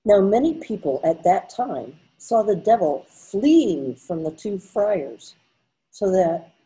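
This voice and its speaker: Older woman narrator, very dark soft tone. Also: fairly low pitch, poor audio. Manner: measured, formal delivery. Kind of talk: scripted storybook narration. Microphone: computer mic on a video call.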